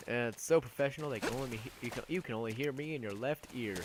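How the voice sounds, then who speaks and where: A man's voice: mid-range voice, fast talker, noisy background. A man giving casual, rambling gameplay commentary as a video host, with a self-deprecating manner.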